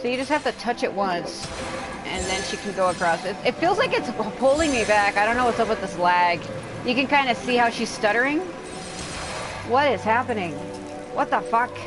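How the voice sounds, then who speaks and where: A woman's voice: fairly high pitch, expressive, moderate pace, music in the background. A woman livestreaming a video game with casual, rambling commentary, blunt and complaining, swearing freely.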